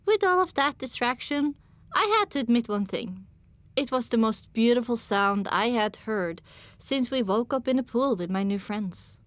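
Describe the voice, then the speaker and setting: Female audiobook narrator, higher register, scripted first-person storytelling, close dry recording. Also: animated, moderate pace, dry recording.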